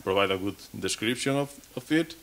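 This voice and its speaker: Man, 30s, lowish voice, speaking from a podium at a conference, restarting and repeating words as he goes. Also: lively intonation, fairly quick, fairly bright tone. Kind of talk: technical conference talk. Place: conference stage.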